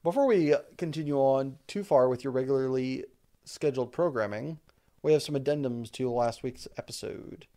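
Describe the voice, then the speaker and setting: A man's voice: mid-range voice, moderate pace, warm tone. A man co-hosting a casual podcast, joking, laughing often and sometimes tripping over his words. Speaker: podcast co-host. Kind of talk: casual podcast chat with a mock ad read.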